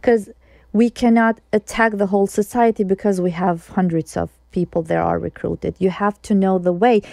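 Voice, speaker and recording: Woman from kosovo, earnest, keeps restarting sentences, gravelly mellow voice. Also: medium pitch, dry recording. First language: Albanian. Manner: earnest and explanatory, with frequent restarts. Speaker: investigative journalist guest. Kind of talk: interview conversation.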